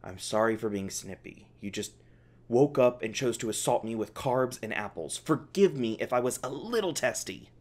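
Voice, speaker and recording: Animated, snarky captive scientist, acted out. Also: mid-range voice, moderate pace, lightly acted. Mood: testy, conciliatory. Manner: sarcastic, testy, swearing, casual. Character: snarky, exasperated scientist held captive, arguing with cellmate. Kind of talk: ASMR audio roleplay, one-sided dialogue.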